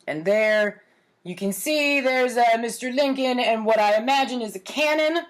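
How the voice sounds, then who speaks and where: A woman's voice: on the high side, normal speed. A woman in her forties talking casually and playfully in a storytime vlog, with a wry, mock-earnest manner. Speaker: host of a storytime series. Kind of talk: casual comic storytime vlog, commenting on own childhood writings. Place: home kitchen, indoors.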